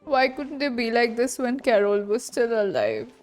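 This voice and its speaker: A woman's voice: a little high, lively intonation, normal speed, soft background music. A woman streamer giving casual, murmured reactions over video game play.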